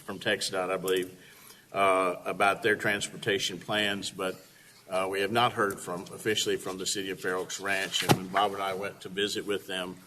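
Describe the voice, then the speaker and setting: A man's voice: low voice, bright, forceful tone. Man chairing a meeting, echoey hall. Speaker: committee chair. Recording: long reverb in a meeting room, faint hiss.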